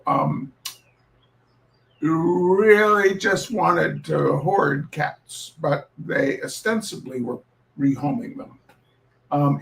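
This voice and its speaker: A man hosting a reading night, telling personal stories informally in a wry, deliberate way with pauses and repeated phrases. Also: fairly high pitch, vocal fry, a bit bright, low-quality recording. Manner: deliberate, halting, with dramatic pauses and repetition. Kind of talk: informal spoken introduction with personal storytelling.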